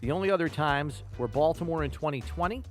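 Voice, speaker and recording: Higher-pitched man hosting sports talk. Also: fast talker, soft background music. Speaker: podcast host. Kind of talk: sports talk and trivia quiz.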